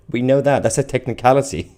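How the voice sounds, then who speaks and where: A man's voice: medium pitch, normal speed, warm tone. A man hosting a live stream in an informal, off-the-cuff way, reading out viewer comments and giving casual commentary.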